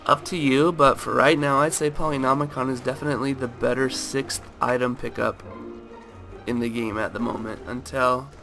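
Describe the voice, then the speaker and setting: A man with a raspy, just-woken-up voice talking through a game item comparison in a relaxed way, full of fillers. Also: medium pitch, normal speed. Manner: relaxed, lots of fillers and hedges. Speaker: gaming video host. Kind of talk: casual game commentary. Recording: loud background music.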